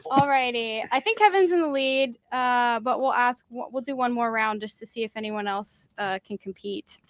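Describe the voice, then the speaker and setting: A woman hosting a podcast quiz game, casually reading out each round and chatting in between, sometimes clearing her throat. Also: a little high, moderate pace, no room sound.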